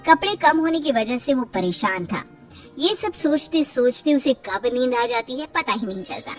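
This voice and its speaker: A woman's voice: sits high, lively intonation, moderate pace. A woman narrator reading a scripted children's spooky story. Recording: slightly distant voice under clear music. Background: background music.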